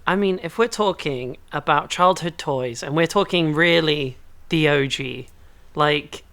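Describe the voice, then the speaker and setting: A man's voice: a little high, a little slow, gravelly, sharp tone. A man from Belgium chatting casually as a podcast co-host.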